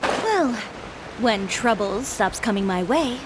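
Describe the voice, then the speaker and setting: A woman playing a blunt, self-assured young troublemaker in a video game, curt and deadpan. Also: a little high, takes it slowly, noisy background. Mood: cool, determined. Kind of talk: video game character acting.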